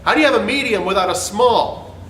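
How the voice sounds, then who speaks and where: A man's voice: high voice, brisk, a bit bright, far from the mic, slight background noise. A man giving an emphatic, sarcastic dramatic reading of an argumentative script. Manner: theatrical, emphatic, sarcastic delivery. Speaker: host reading his own essay. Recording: roomy, off-mic sound with background music.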